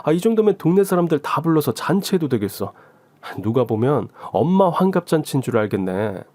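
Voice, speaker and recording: Man playing a joking, grumbling husband, lively pitch. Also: brisk. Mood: impressed, teasing. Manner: casual, grumbling banter. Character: joking, mock-jealous middle-aged son and husband. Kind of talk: Korean radio story acting.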